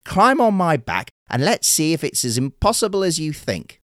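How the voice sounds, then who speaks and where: A man voicing a kindly, calm little male sea turtle in a storybook tale, speaking slowly and courteously. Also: fairly high pitch, no echo, clean studio sound, voice pushed up. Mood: gentle, earnest. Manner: slow, gentle and courteous. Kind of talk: storybook character voice.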